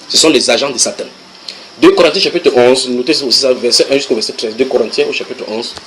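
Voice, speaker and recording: A man preaching a sermon to a congregation, reading English passages aloud and translating them with lively asides to his listeners. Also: mid-range voice, a little hiss or hum, low-quality recording. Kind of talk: sermon teaching.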